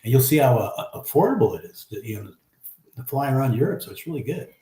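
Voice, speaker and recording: Brief: chatty man in his 50s, livestream host, mid pitch, roomy echo. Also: expressive, fast talker, warm tone. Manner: easygoing, chatty, many fillers. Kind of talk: casual live chat, reading viewer comments. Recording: echoey room.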